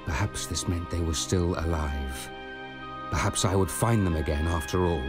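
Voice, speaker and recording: A man plays a young, wronged soldier set on revenge in a radio drama. His voice is very low, and he speaks with measured, earnest formality over clear music. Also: natural voice. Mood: grave, reflective. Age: young adult. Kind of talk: radio drama acting and first-person narration.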